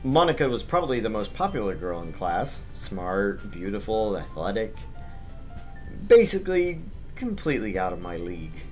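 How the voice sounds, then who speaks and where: A man's voice: on the low side, sing-song, normal speed, faint music behind. A man streaming a visual novel, reading the narration aloud with casual asides.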